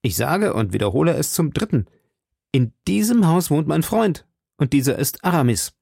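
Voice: mid-range voice, big pitch swings, normal speed, gravelly, a little mellow, breathy edge, dry recording, clean studio sound, pitched above the performer's own voice, full character voice. A narrator playing an ardent, insistent gallant in his late teens, speaking eagerly and formally in an audiobook. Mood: insistent, irritated. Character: ardent, insistent young gallant man, not yet twenty. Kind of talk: audiobook character dialogue.

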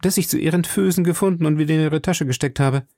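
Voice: medium pitch, quick pace, warm tone, dry recording, studio quality, voice pushed up, lightly acted. A narrator playing an ardent, insistent gallant in his late teens, speaking eagerly and formally in an audiobook. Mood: probing. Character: ardent, insistent young gallant man, not yet twenty. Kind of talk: audiobook character dialogue.

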